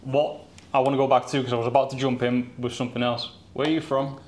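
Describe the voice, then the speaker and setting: Male podcast host, friendly and probing, mid-pitched voice, with a light hiss behind him. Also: flat delivery, fast talker, a little mellow. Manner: friendly, probing, short back-channel replies. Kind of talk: casual interview chat.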